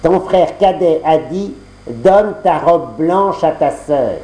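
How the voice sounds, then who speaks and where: A man voicing a sly servant who drawls slowly, in an echoey room. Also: on the high side, lively intonation, dark tone, voice pushed up. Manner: slow and drawn out.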